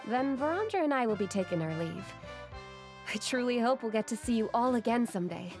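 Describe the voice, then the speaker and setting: An actress playing a gentle, fond female assistant to a scholar in a video game, speaking in a gently teasing way. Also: on the high side, animated, a little fast, over music. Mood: warm. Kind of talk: game voice acting.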